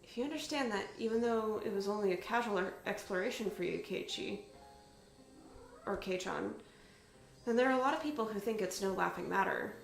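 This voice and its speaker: A woman's voice: medium pitch, a little fast, gravelly, close to an everyday voice. Woman voicing a cool, evasive teenage girl. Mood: calm. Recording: roomy echo with music behind.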